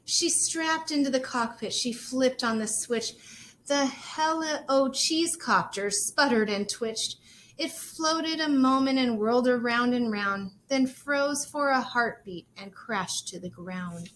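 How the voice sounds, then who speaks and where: A woman teacher reading a children's storybook aloud expressively, in measured, sing-song rhyme. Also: sits high, a bit bright, a little hiss or hum, low-quality recording.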